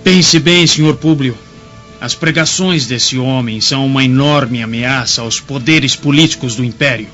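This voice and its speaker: Man playing haughty male priestly spokesman, Brazilian Portuguese, bright cutting voice, contemptuous oratory, radio drama, muffled recording over faint music. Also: medium pitch, normal speed, natural voice. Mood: urgent, menacing. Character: haughty scornful Pharisee spokesman of the high priests.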